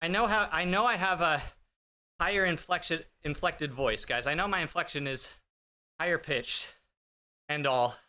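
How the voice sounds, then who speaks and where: Thirties male streamer, a bit high and bright, joking casually with chat over a desk mic. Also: normal speed. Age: 30s. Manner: joking, self-deprecating, chatty; talks while riding an indoor bike. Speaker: fitness streamer. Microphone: streaming desk mic with noise gate.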